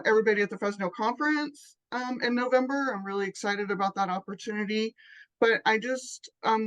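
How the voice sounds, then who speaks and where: A woman's voice: medium pitch, low-quality recording. Brief: female guest presenter, modest, hesitant, conversational, call mic. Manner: modest, hesitant, many ums. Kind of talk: casual presentation.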